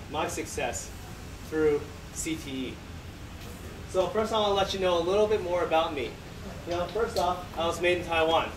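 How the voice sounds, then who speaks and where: A man whose first language is Chinese, giving a conference talk with self-deprecating jokes and many fillers. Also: fairly high pitch. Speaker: conference presenter. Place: large conference hall. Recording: echoey hall, distant mic, room noise.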